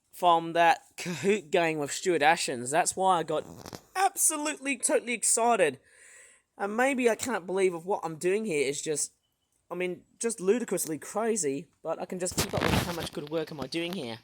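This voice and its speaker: A hoarse vlogger with a sore throat rambles through a backyard garden tour, excitable and full of fillers. The voice sits at a middling pitch and sounds dry and close, picked up outdoors on a tablet. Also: dry recording. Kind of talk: casual garden tour narration. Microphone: tablet filming.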